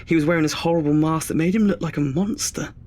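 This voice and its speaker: A man's voice: on the high side, fast talker, darkish tone, natural voice. An actor playing a nervous, chatty twenty-year-old male student in an audio drama, hesitant and self-deprecating. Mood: embarrassed, anxious.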